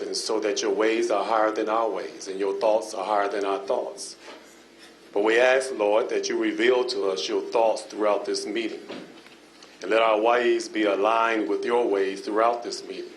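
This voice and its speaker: A man, a pastor, leading a spoken prayer at a city council meeting in a measured, solemn cadence. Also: on the low side, roomy sound, slight background noise, low-quality recording. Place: council chamber.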